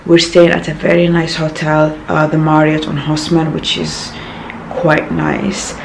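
A woman in her thirties, croaky from little sleep, chatting casually to a handheld camera in her small apartment, rambling with many fillers. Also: deep voice, monotone, unhurried, noisy background. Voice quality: gravelly. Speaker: vlogger. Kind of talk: casual vlog talk to camera. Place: small apartment bedroom, indoors. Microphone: handheld camera at arm's length.

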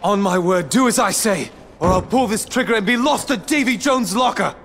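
An actor playing an earnest, defiant young adventure hero in a video game, urgent and declamatory. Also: male voice, sits high, lively intonation, normal speed, fairly bright tone, slight background noise. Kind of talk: video game cutscene acting.

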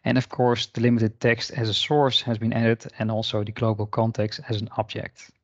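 A man narrating an unscripted screen-share software tutorial in an informal, explanatory way. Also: lowish voice, little pitch movement, moderate pace, dry recording, muffled recording. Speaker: software demonstrator. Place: indoor voice-over for screen recording.

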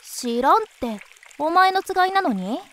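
A woman playing a cheeky, tomboyish young beast-woman in a voice drama, blunt, casual and expressive. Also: very high voice, normal speed, slight background noise. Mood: teasing. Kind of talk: voice drama acting.

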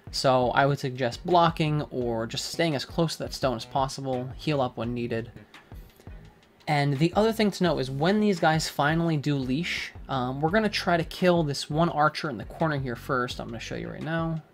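A man's voice: fairly high pitch, normal speed, soft background music. Casual man narrating a game guide. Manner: casual, relaxed, with frequent fillers. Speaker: gaming guide creator. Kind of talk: game walkthrough commentary.

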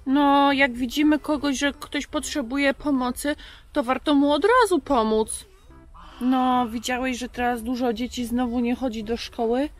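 A woman's voice: high voice, a little slow, faint music behind. A woman in her thirties hosting a children's show outdoors, chatting and telling stories conversationally. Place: outdoors in a garden.

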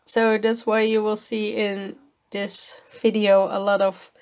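Slow-talking woman losing her voice. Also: medium pitch, flat delivery, a little mellow. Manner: slow, thoughtful, with fillers and small laughs. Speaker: art channel host. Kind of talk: voice-over commentary on a painting video.

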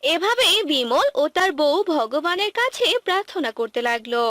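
Female narrator, scripted children's tale, high pitch, animated intonation, dry recording. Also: moderate pace, no echo.

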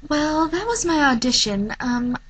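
A woman's voice: high-pitched, animated, unhurried. A woman talking casually as an amateur voice actor about her audition, recorded in a hotel room. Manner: apologetic.

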